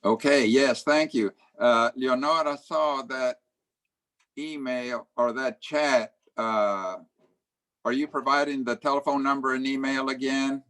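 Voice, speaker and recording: A man chairing an online club meeting over a video call, talking in a halting way with many fillers. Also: medium pitch, fairly even intonation, a bit bright.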